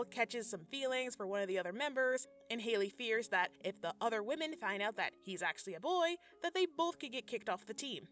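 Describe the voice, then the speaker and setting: A woman's voice: mid-range voice, fairly bright tone. A woman narrating a scripted video commentary in a sassy, conversational way, with slangy asides. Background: background music.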